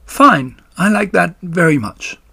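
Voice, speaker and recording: A man voicing tiny, friendly young female spiders in a children's storybook, with bright, polite speech. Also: high-pitched, expressive, slow, creaky, slightly rough, no echo, pitched above the performer's own voice, heavily acted. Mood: eager, friendly. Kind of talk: children's storybook read-aloud.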